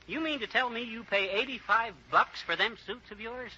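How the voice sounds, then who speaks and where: High-voiced, wisecracking radio husband. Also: male voice, normal speed, sharp tone, exaggerated voice. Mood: brash, needling. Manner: folksy, brash, ungrammatical wisecracks. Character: nosy, cheeky, wisecracking husband. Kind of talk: 1940s American radio comedy acting. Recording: muffled, noisy old-time radio recording.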